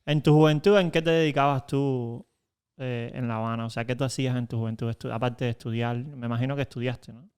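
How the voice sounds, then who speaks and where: A man hosting a casual podcast, chatty and quick to laugh, introducing his guest. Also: medium pitch, steady tone, darkish tone, clear, resonant tone. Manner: chatty, laughs easily, many fillers. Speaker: podcast host.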